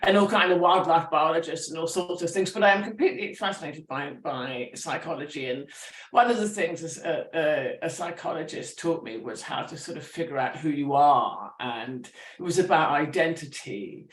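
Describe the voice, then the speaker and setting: A woman, a writer and teacher, talking through her ideas with animated thoughtfulness and many restarts at a public talk. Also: deep voice, normal speed. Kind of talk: conversational storytelling. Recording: roomy echo.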